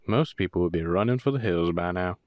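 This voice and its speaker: A man's voice: sits low, expressive, fairly quick, a little mellow, dry recording. A man playing a brash, proud werewolf brother in an audio roleplay, with a blunt manner. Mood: cocky teasing.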